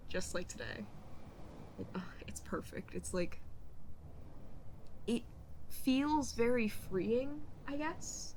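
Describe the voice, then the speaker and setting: Female actor as childhood friend, young adult, playful and bossy, halting casual delivery at a slow pace, intimate roleplay audio with clear background noise. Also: mid-range voice. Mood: wistful, happy. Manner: halting, rambling, casual speech with teasing bossiness.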